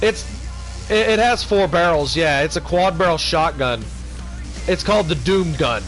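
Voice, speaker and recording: A man's voice: fairly high pitch, animated, normal speed, fairly bright tone, faint music behind. A man chatting casually on a game livestream, eagerly telling stories and teasing them with questions. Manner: eager storyteller, keeps asking "you wanna know". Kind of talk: casual chat.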